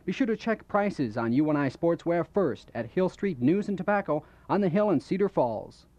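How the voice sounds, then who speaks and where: Higher-voiced male radio ad announcer. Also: expressive, normal speed, a little mellow, no echo. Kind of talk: scripted commercial read.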